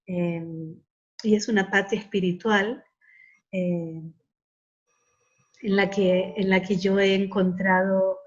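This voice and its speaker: A woman's voice: mid-range voice, highs cut off. A middle-aged woman academic speaking reflectively in an interview, earnest and often repeating words as she searches for the right one.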